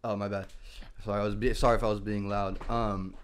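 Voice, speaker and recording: A man's voice: fairly low pitch, level tone, brisk, a little hiss or hum. Laid-back young man saying dude and bro. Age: young adult. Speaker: podcast host. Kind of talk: casual interview chat. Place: small room at home. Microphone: headset on a video call.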